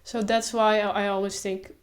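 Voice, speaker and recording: A young woman talking casually to camera, stuttering openly with sound repetitions and pauses, then easing into a relaxed, slow delivery. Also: mid-range voice. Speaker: video creator.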